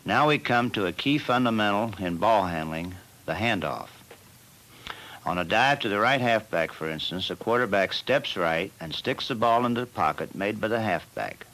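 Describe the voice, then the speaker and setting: A man narrating a football training film as the team's coach, in a measured and plain instructional manner. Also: lowish voice, animated, fairly bright tone, low-quality recording.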